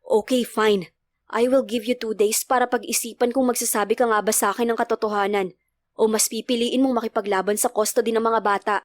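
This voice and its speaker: A woman's voice: on the high side, fairly even intonation, a little fast, no echo, natural voice. Woman voicing a stern, demanding father. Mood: threatening. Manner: curt, demanding. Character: stern, threatening young father, easily annoyed.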